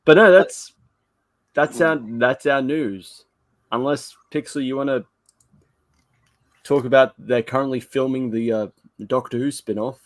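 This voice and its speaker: A man co-hosting a podcast, talking casually in an animated, rambling, self-deprecating way. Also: mid-range voice, unhurried, pauses often, no room sound. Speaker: podcast co-host. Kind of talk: casual fan podcast chat.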